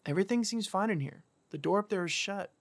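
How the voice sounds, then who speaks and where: Actor as high-voiced young man, casually persuasive. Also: expressive, fast, dry recording. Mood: reassuring, matter-of-fact. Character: young man, persuasive, exasperated host of a spooky house. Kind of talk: naturalistic audio drama dialogue.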